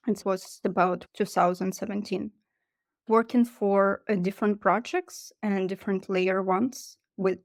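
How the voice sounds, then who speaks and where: A woman's voice: mid-range voice, darkish tone, dry recording, clean studio sound. A woman introducing herself as a podcast guest, speaking casually with some hesitations.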